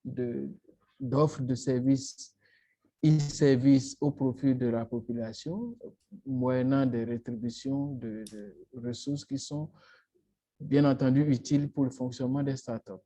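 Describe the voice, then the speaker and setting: A man speaking on a panel discussion in a measured, formal way. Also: mid-range voice, steady tone, dark tone. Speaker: panelist, startup advisor.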